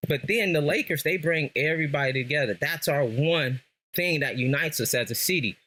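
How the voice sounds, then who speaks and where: Man, American accent, slightly high pitch, casual sports talk, storytelling, slangy, emphatic repetition. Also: fairly quick, bright, forceful tone. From Los Angeles, Southern California. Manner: repeats phrases for emphasis, storytelling in street slang. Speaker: guest, lifelong Lakers fan. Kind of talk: casual sports podcast conversation.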